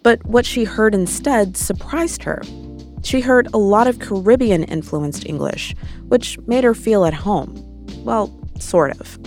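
An American woman hosting a podcast, narrating in a calm, clear way. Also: mid-range voice, unhurried, soft background music. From United States. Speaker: podcast host. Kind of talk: scripted narration.

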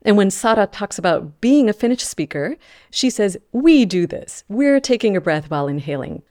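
A woman's voice: medium pitch, very animated, moderate pace, a little mellow. A woman reporter telling a story in a conversational podcast style.

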